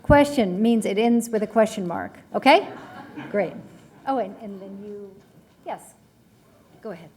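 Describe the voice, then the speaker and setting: A woman moderating audience questions, giving brisk instructions with a joking edge. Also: mid-range voice, expressive, a little mellow, a little hiss or hum.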